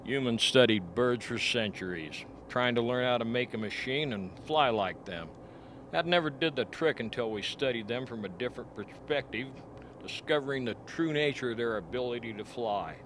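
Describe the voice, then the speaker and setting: A man acts out a frank, grim scientist doctor in a radio play. He explains in a deliberate way at a middling pitch, over faint background noise. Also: animated, fairly bright tone, natural voice. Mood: firm, explanatory. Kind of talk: amateur radio play acting.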